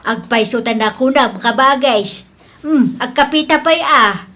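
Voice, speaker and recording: A woman's voice: sits high, measured pace, sharp tone. A woman with an Ilocano accent playing a homely, indulgent older mother in a radio comedy drama.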